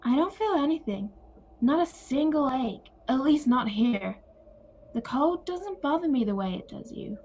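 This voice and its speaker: A woman playing a calm, wry older sister and former literature teacher in an audio play. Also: high-pitched, measured pace, a little hiss or hum. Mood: content, wry.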